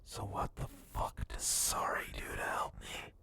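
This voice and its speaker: A man playing a menacing, sadistic cyborg gang boss and bounty hunter in a dark ASMR roleplay, in a breathy, gravelly whisper with slow, taunting delivery. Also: lightly acted. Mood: bitter, menacing. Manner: slow, deliberate, taunting, swearing.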